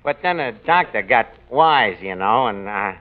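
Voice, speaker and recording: An actor playing a boastful, wisecracking tavern manager in a 1940s radio sitcom. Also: male voice, mid-range voice, lively intonation, a little slow, close to an everyday voice. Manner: brash wisecracking delivery. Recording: hissy lo-fi old radio broadcast.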